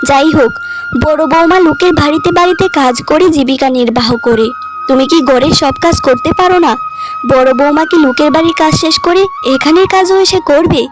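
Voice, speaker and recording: A woman's voice: high-pitched, a little fast, music in the background, close to an everyday voice. A woman voicing a stern, scolding older mother-in-law in a cartoon drama. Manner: scolding, reproachful. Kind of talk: cartoon dialogue.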